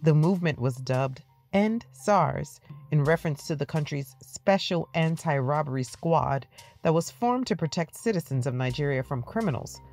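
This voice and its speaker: A woman news reporter reading a scripted story in a measured voice. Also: sits low, expressive, darkish tone, faint music behind.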